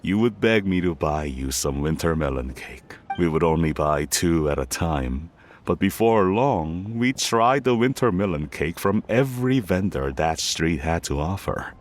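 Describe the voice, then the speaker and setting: Deep-voiced father, warm and nostalgic, game dialogue. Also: lively intonation, measured pace, a little hiss or hum. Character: fond middle-aged father. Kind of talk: game voice acting.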